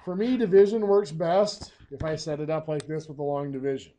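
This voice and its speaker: Hoarse male teacher explaining math. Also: a little high, steady tone, a little fast, dark tone, slight background noise. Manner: patient step-by-step explaining. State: hoarse, coughing. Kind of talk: screencast math lesson.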